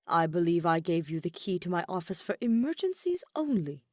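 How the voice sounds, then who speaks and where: A woman's voice: fairly low pitch, big pitch swings, brisk, darkish tone, dry recording. A woman performs a guarded male spymaster from a fantasy audiobook. He is sardonic and formal, with clipped dry wit, in a close, dry recording. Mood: dry reproach. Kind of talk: audiobook-style fan fiction character reading.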